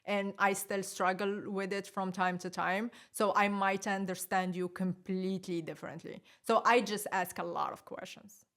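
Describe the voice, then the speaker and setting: Animated woman guest from Morocco. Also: medium pitch, moderate pace, few pauses. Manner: animated, laughs often, lively emphasis. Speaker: podcast guest. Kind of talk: casual interview chat.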